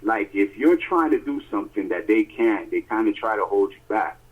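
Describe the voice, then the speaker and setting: Male podcast co-host, lively rambling storyteller, raspy voice, phone-quality audio. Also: on the low side, normal speed. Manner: animated, emphatic storytelling with many fillers. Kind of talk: casual phone chat. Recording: tinny phone line.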